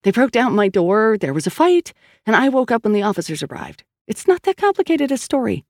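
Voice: medium pitch, very animated, a little fast, no room sound, studio quality, pitched above the performer's own voice. An audiobook narrator playing a tense, stubborn woman police officer who speaks insistently and with exasperation. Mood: exasperated. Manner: insistent, emphatic.

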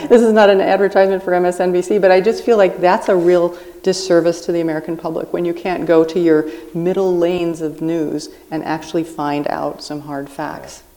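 A woman's voice: lowish voice, little pitch movement, fairly quick, few pauses, warm tone. A woman guest writer on a live podcast at a book festival, explaining her views in an earnest way.

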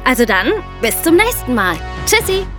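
A woman's voice: high-pitched, very animated, normal speed, over music. A young woman co-hosting a podcast, explaining and asking in a conversational tone. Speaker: podcast co-host.

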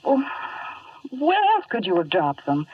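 An American actress playing an anxious but plucky young woman, fretful and expressive, in a 1950s radio drama. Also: lowish voice, measured pace, husky, exaggerated voice. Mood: worried, determined. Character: anxious, plucky young working woman, the hero's loyal date. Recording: dull, distant 1950s radio recording.

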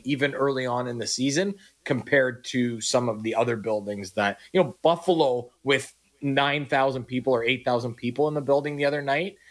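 A man's voice: mid-range voice, fairly bright tone. Mid-voiced man co-hosting sports radio. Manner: conversational, reads listener texts. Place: radio studio. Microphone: studio mic.

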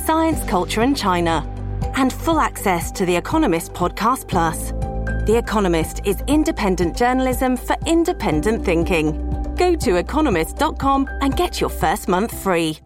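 A woman's voice: medium pitch, sing-song, normal speed. A woman reading a scripted advertisement in a polished, upbeat way. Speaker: ad announcer. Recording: music bed behind the voice.

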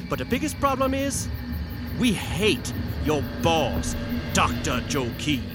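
Hateful mob boss, Southern US accent, slightly high male voice, folksy taunts, audio drama, loud music behind. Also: sing-song, takes it slowly. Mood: hateful, menacing.

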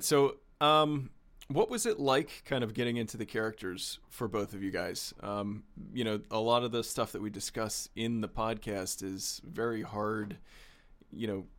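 A man's voice: lowish voice, a bit bright. A man hosting a casual interview, asking questions and laughing easily. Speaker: host.

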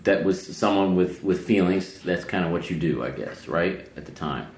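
A man hosting a casual conversation, asking relaxed, curious, halting questions. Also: deep voice. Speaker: host. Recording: roomy, faint ambience.

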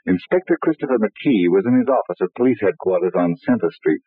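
A sinister, suave storyteller of crime tales on a tinny vintage radio recording. His low, husky voice swoops theatrically as he deliberately sets each scene. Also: lively intonation, dry recording. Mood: suspenseful. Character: suave, sinister male librarian host telling murder mysteries. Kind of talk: old-time radio dramatic narration.

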